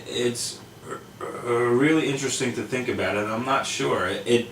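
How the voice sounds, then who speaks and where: A man's voice: mid-range voice, normal speed, fairly bright tone, slight background noise. A man who stutters through repeated words, chatting casually. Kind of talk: casual chat. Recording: echoey room, distant mic.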